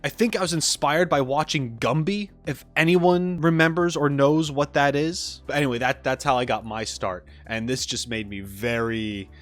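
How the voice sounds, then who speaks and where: A man's voice: mid-range voice, fairly bright tone. A man hosting casual reaction commentary, chatty and enthusiastic about what he is watching. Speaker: YouTube host and animator.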